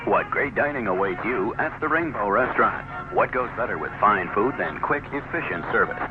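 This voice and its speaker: A man's voice: fairly low pitch, lively intonation, moderate pace. A man reading a scripted vintage radio advertisement as a commercial announcer. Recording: narrowband vintage radio recording. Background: music.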